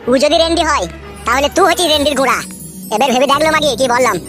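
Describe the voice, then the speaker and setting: Fast-ranting crude cartoon husband voiced by a woman. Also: high-pitched, over music, lightly acted. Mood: irritated, accusing. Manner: ranting, insulting. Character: exasperated, crude husband of quarrelling cartoon wives. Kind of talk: bawdy cartoon dialogue.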